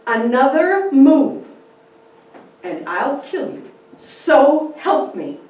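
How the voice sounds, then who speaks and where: A woman's voice: high voice, sing-song, a little mellow, pitched above the performer's own voice, heavily acted. Steely frontier wife, acted by a woman, echoey room. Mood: defiant. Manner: slow, deliberate, emphatic. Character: steely, plain-spoken frontier wife, unafraid and defiant. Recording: very echoey room, distant mic, faint noise.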